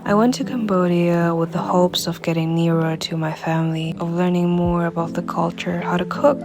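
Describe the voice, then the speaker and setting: A young woman from Germany narrates a voice-over in a low, very flat voice, calm and reflective. Loud music plays underneath throughout. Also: moderate pace. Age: young adult.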